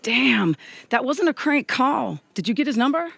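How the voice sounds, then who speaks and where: Female actor, diner proprietor, feisty and charming; high, lively voice with a creaky edge, audio comedy. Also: normal speed, no room sound, exaggerated voice. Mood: weary. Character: feisty, charming country woman who runs a diner. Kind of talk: comedic audio drama dialogue.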